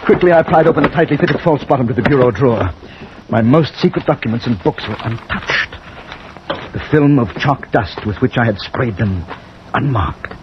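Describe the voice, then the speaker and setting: An actor playing a brooding army colonel, measured and grave, in a vintage wartime radio drama. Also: male voice, mid-range voice, lively intonation, natural voice. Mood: brooding, tense. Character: brooding British army colonel narrating his wartime story. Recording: muffled old radio recording, loud noise.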